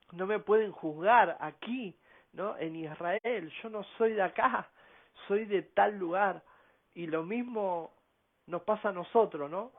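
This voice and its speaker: A man giving a slow, earnest radio sermon as preacher, often tagging his points with a questioning "no?". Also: sits high. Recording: phone-like narrow band.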